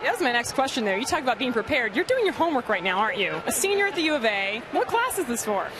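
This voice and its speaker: A woman's voice: on the high side, big pitch swings, fast talker. An American woman working as a sideline reporter, doing a live sports interview. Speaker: sideline reporter interviewing a guest at a baseball game. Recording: clear outdoor stadium noise behind. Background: outdoor ballpark ambience.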